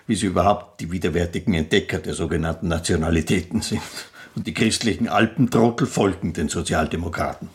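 An actor playing a sardonic, disillusioned aristocratic gentleman in a radio play, with a dry, ironic and declamatory manner. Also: low voice, breathy edge. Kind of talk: literary radio drama.

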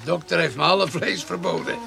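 A man's voice: on the high side, brisk, creaky, bright, forceful tone, full character voice. A man playing a jovial, blustering livestock dealer who haggles and banters in a radio play. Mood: blustering, haggling.

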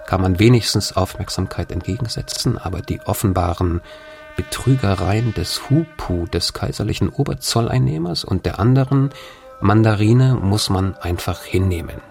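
Expressive low-voiced man over music. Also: normal speed, creaky. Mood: earnest, disapproving. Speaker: narrator reading a historical travel account. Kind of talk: formal reading of an old-fashioned text. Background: music behind the voice.

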